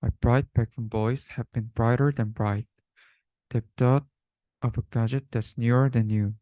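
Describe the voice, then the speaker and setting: A man's voice: medium pitch, fairly even intonation, unhurried, dry recording, highs cut off. A young man reading a rhyming children's storybook aloud. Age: young adult. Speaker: student reader.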